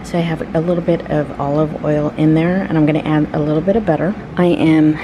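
A woman's voice: deep voice, steady tone, a little fast, noisy background. A woman narrating a casual cooking vlog in a chatty, informal, playful way. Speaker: home cook vlogger. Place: home kitchen, indoors.

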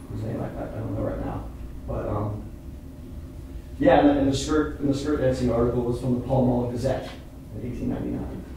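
A middle-aged man speaking as a playwright at an informal post-show talkback, halting and earnest as he explains. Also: medium pitch, dark tone. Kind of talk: informal discussion with an audience. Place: indoor theatre stage, seated on the floor. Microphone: far camera microphone. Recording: distant echoey hall recording with noise.

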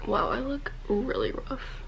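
Young woman vlogger, stuffy, chatty, raised voice with some vocal fry, close camera in a small bedroom. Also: high-pitched, a little slow, slight background noise. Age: young adult. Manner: chatty, lots of "like" and "literally". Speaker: college student vlogger. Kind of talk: casual chatty vlog.

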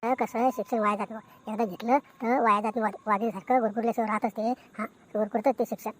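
Woman voicing a high-pitched, chatty village man. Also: steady tone, quick pace, lightly acted. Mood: chatty, animated. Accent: rural Maharashtrian. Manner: emphatic, repetitive. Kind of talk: amateur cartoon comedy. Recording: noticeable background noise.

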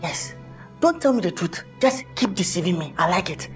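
A man's voice: high voice, big pitch swings, normal speed, faint music behind. A man with a Nigerian accent playing a lovesick, penniless young boyfriend in a comedic melodrama, pleading theatrically and repeating himself. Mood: panicked pleading.

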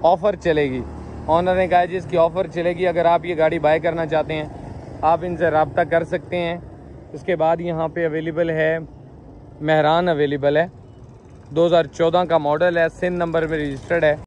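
A man in his thirties walking around a used car market outdoors, showing cars and chatting with owners in brisk, repetitive patter. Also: a little high, little pitch movement, darkish tone, a little hiss or hum. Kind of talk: walkaround car showcase with owner chats.

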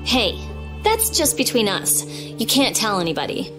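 A prickly teenage game girl, blunt yet awkwardly fond. Her voice is fairly high and animated, with music behind it. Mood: guarded, sincere. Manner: blunt, halting when embarrassed. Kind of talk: video game cutscene acting.

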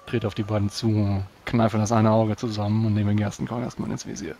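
A man's voice: lowish voice, flat delivery, brisk, creaky, warm tone, slight background noise. A man casually narrating his character's actions as a player in a tabletop game.

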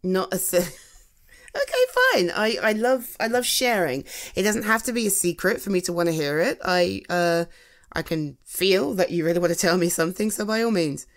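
A British woman playing a supportive, gently teasing friend in an ASMR roleplay, talking in a hesitant, reassuring, conversational way. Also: lowish voice, normal speed, close to an everyday voice. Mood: playful, curious. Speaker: audio roleplay performer.

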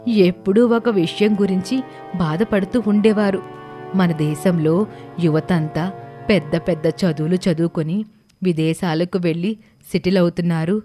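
A woman voicing a grave, kindly schoolmaster's widow in a cartoon story, measured and earnest. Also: fairly low pitch, fairly even intonation, dark tone, soft background music, lightly acted. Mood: earnest, sorrowful.

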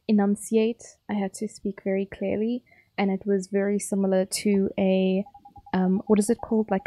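A woman's voice: medium pitch, warm tone, no echo. A young woman with a South African accent, chatting casually and teasingly with her viewers while streaming a game. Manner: chatty, playful, teasing chat.

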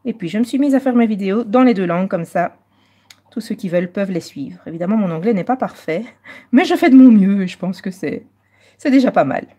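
A Belgian woman hosting a live coloring stream, chatting casually and moving between French and slightly halting English. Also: mid-range voice, lively intonation, mellow, breathy edge.

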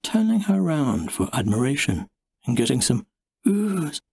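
A man narrates a story with a very mobile pitch and a wry, measured manner. His voice has a husky, creaky grain. The sound is dry and close. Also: sing-song, darkish tone, no room sound. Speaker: audiobook narrator. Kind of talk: expressive first-person storybook narration.

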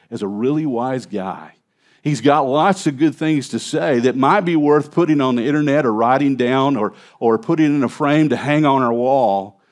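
A man in his fifties, a pastor preaching an expository sermon in a conversational way from a church stage, on a headset mic. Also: mid-range voice. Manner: conversational preaching, animated gestures at a lectern. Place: church stage, large indoor hall.

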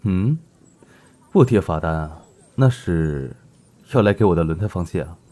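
A man playing a cool, terse, gently teasing rich young businessman in an audio drama, with a husky voice. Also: expressive, normal speed, background noise. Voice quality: husky, low.

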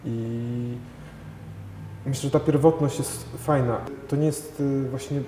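A man in his thirties talks slowly through his ideas, stopping often to find words, in a warm, mellow voice. His voice carries a long reverb from a big gallery hall, with faint noise underneath. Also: mid-range voice, steady tone. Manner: thoughtful, halting, many fillers and restarts. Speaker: painter. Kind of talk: gallery interview. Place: large gallery hall with paintings.

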